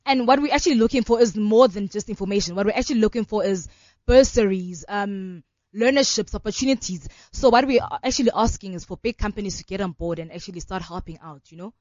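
A woman's voice: medium pitch, fast talker, darkish tone, no echo, dull audio. A woman in her twenties with a South African accent, talking earnestly in a radio interview and filling her talk with "you know". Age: 20s. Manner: earnest, fluent, many fillers like "you know". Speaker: law student and organization founder.

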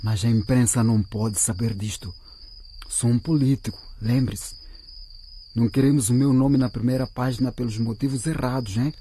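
A man's voice: medium pitch, fairly even intonation, moderate pace, dark tone, slight background noise, lightly acted. A man playing a scheming, corrupt politician in a radio drama, furtive and bossy in manner. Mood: insistent. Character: scheming, self-protective corrupt male politician, a councillor.

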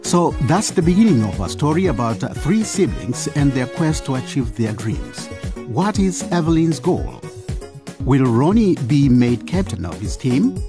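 A man narrates a radio drama, reading from a script. His delivery is lively and varied in pitch, with clear music playing underneath. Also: unhurried.

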